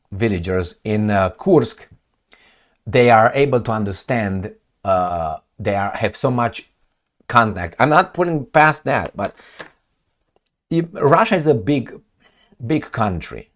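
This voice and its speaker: Commentator, male, low voice with swooping intonation, sarcastic and rambling. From Romania. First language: Romanian. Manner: rambling, sarcastic, with many fillers and restarts. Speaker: political commentator. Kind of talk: casual solo commentary reading news aloud.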